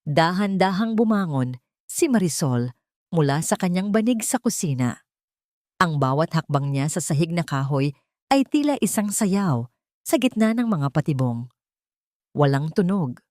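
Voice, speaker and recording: A woman's voice: lowish voice, darkish tone, no echo, clean studio sound. A woman narrating a scripted story in a dramatic, suspenseful manner.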